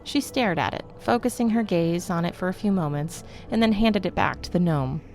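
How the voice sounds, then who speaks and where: A woman's voice: lowish voice, animated, normal speed, gravelly, a little mellow, soft background music. A woman reading scripted narration for a fantasy story, as a storyteller. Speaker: narrator of a fantasy fiction podcast.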